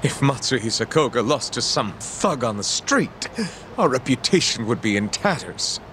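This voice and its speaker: An actor playing a pompous, grandiose gangster boss in a video game, speaking in a mock-formal, melodramatic way. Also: male voice, medium pitch, very animated, normal speed, a bit bright, slight background noise. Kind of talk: video game dub acting. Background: music.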